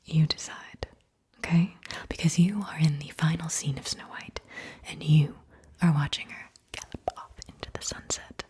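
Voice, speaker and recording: A woman whispering in a breathy voice as she hosts an ASMR guided visualization, speaking straight to the listener and softly checking in with questions. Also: sits low, fairly even intonation, normal speed. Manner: gentle direct address with soft check-in questions. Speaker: ASMR host guiding listeners.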